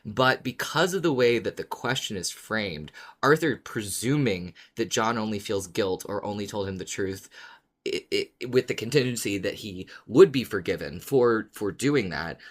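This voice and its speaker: A man co-hosting a podcast, talking casually in a hesitant, measured way as he thinks aloud. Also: fairly low pitch, animated. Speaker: podcast co-host. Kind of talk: casual discussion of a fiction podcast.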